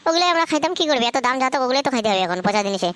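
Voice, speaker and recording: A woman with a Bengali accent voicing a soft-hearted, worried wife and mother in a comic cartoon. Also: high-pitched, animated, racing, no echo.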